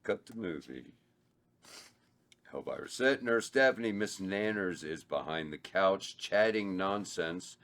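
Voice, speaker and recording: A man reads out the stage directions at a table read. His voice is very deep, and he keeps a plain, steady delivery. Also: slow pace. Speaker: narrator reading stage directions. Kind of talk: reading a script aloud.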